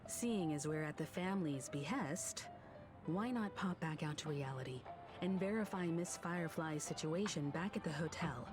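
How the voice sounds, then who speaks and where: Shrewd game heroine, lowish pitch, lively intonation, deliberate, analytical, music behind. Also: female voice. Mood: curious. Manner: deliberate, reasoning aloud. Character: shrewd, poised, analytical woman in fantasy game. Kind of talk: scripted game dialogue.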